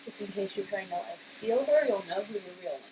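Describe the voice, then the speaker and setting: A woman's voice: medium pitch, animated, fairly quick, dark tone, a little hiss or hum, poor audio. A woman chatting informally and playfully as co-reader during a story game performance. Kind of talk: casual banter during a game read-through.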